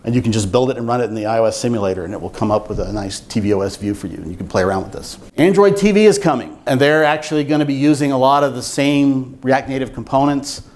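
An older man giving an informal technical presentation at a meetup, explaining things in a measured way. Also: mid-range voice, a little mellow. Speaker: software engineer giving a talk. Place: meetup room with seated audience, indoors.

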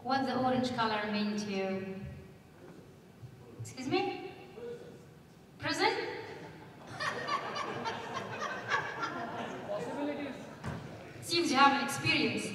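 A woman's voice: sits high, very slow, long pauses, crowd noise. A woman speaking on a conference panel stage into a handheld mic, putting questions to the audience. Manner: asks the audience questions, lively. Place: large conference hall stage. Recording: echoey hall from far off.

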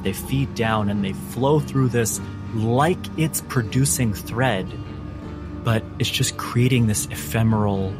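A man as game master, narrating scenes in a measured, descriptive way with dramatic pauses. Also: lowish voice. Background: music.

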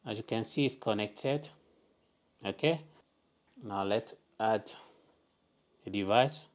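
A man hosting a step-by-step tech tutorial, talking through each setting in plain, deliberate instructions at a desk indoors. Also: fairly low pitch. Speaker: tutorial host.

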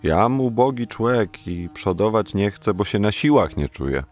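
An actor playing a modest, reluctant poor beekeeper in an audio drama. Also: male voice, on the low side, expressive, measured pace, a little mellow. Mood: humble, reluctant.

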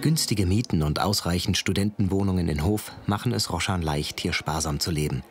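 A man's voice: low-pitched, fairly quick, husky. A man reading scripted narration for a television documentary. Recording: music behind the voice. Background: background music.

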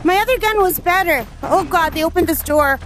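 Very high-pitched woman gamer, excitable. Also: brisk, background noise. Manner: excitable, exclaiming, self-deprecating. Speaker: gamer, channel host. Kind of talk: casual gameplay commentary. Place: indoors at home, playing in a headset. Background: faint game music.

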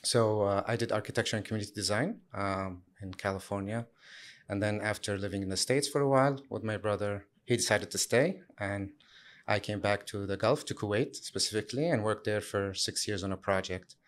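A man in his thirties from Syria, answering interview questions in a relaxed way with many fillers and restarts. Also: on the low side, brisk. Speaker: guest, sustainability lead.

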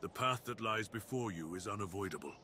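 A man's voice: on the low side, animated, a little fast, pushed and bright, slight background noise. A man voicing a proud, menacing dire wolf beast in an ASMR roleplay, speaking with grave, commanding, theatrical deliberation. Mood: threatening, commanding.

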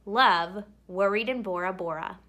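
A woman reading a children's picture book aloud expressively, with theatrical emphasis and drawn-out words. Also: medium pitch, sharp tone. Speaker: read-aloud storyteller.

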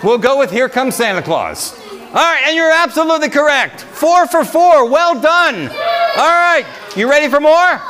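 A middle-aged man hosting a children's music guessing game at a library, calling out loudly and encouragingly like a game-show host. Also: very high-pitched, big pitch swings, a little slow. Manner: loud, projecting, encouraging game-show-style calls. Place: large carpeted library meeting room. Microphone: handheld microphone, filmed from far camera. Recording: noisy room recording, keyboard music, children clamoring. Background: loud keyboard music and crowd of children.

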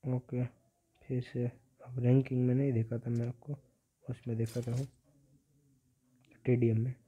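Teenage male, casual gaming commentary, monotone delivery, narrow lo-fi phone sound. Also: mid-range voice, a little slow, breathy edge. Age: teenager, about 15. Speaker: gaming video creator. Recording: dull, lo-fi phone-like audio.

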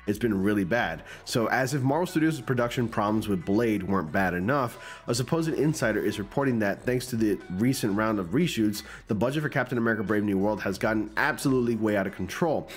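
A man's voice: on the low side, brisk, hardly any pauses, soft background music. A man in his forties with a stuffy, cold-clogged voice, casually commenting on movie news and reading an article aloud, close to a studio mic in a small room at home. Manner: conversational, reads news text aloud with asides. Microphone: large studio mic close in front.

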